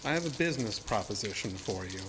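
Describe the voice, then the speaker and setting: A man's voice: lowish voice, expressive, fast, noisy background, natural voice. A man playing a sinister, seductive illusionist showman in a horror audio drama, speaking in a theatrical, formal and insinuating way. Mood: taunting. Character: sinister, seductive showman-illusionist, deceptively ageless male villain.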